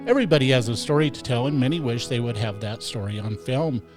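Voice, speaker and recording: Male narrator, scripted voice-over, mid pitch, faint backing music. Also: lively intonation, fast. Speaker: narrator of news series.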